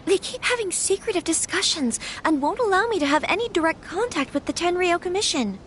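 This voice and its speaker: High-voiced young noblewoman, troubled but earnest. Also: lively intonation, brisk, fairly bright tone, slight background noise. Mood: troubled, reflective. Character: gentle young noblewoman, troubled yet firm, in anime fantasy. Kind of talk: anime game voice acting.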